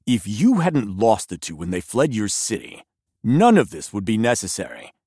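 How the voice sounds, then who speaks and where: A man's voice: on the low side, wildly swooping pitch, normal speed, darkish tone, husky, no room sound, studio quality, full character voice. A man playing a proud, scornful villainous envoy in an audio drama, speaking coldly, formally and defiantly. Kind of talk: scripted audio drama acting.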